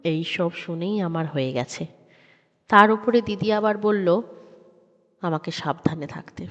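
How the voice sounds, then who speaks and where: Female horror narrator, suspenseful and measured, dull recording with heavy reverb. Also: fairly low pitch. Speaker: audio story narrator. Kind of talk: dramatic scripted horror narration. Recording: dull sound with long reverb.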